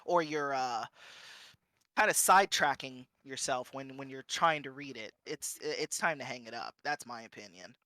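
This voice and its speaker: A woman streamer chatting casually as a panel guest, animated and jokey, acting out little quips as she talks. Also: a bass voice, moderate pace, dry recording. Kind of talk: casual chat.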